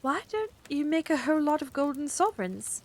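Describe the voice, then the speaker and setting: A woman's voice: high-pitched, big pitch swings, brisk, pitched above the performer's own voice. A woman voicing an eager, persistent young girl who keeps asking questions. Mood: eager, curious.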